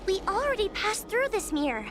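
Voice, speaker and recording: A woman's voice: very high-pitched, big pitch swings, fairly quick, background noise. A woman playing a timid, anxious young girl spirit-hunter in a video game, with hesitant, stammering speech. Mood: nervous, hesitant. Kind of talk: video game character acting.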